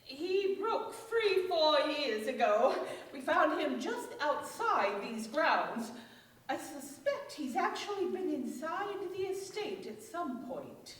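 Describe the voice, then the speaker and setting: A grave, formal asylum superintendent acted by a woman on a radio play stage. She speaks deliberately in a high, swooping voice. It sounds distant and very echoey. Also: very animated, heavily acted. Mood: ominous. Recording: echoey stage, distant mic, faint noise.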